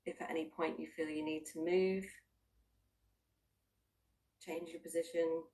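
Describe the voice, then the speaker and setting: A woman with a low voice leads a guided meditation, sitting a little way from the microphone. She gives gentle, invitational instructions, leaving long pauses between unhurried phrases. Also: little pitch movement, far from the mic. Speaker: mindfulness practitioner leading a guided body scan.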